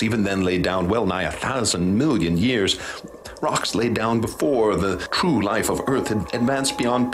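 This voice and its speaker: An actor playing a haunted male professor, speaking in a formal, measured, ominous way in a radio drama. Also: low voice, natural voice. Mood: ominous, troubled. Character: grave haunted male professor recounting horrors he witnessed. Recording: clear background noise and ambience.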